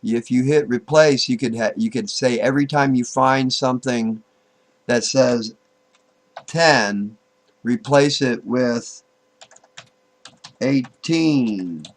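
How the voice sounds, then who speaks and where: A man giving an informal, unscripted software tutorial, thinking aloud, halting and repeating himself as he goes. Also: medium pitch. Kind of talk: informal screen-recorded tutorial, unscripted walk-through.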